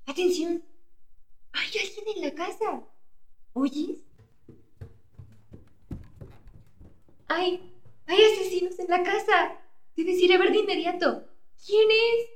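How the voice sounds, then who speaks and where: Actress as high-pitched, bossy scolding wife. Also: female voice, unhurried, long pauses, echoey room, slight background noise. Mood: alarmed, urgent. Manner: urgent, scornful nagging. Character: bossy, suspicious, scolding wife frightened of burglars. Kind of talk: comedic radio play acting.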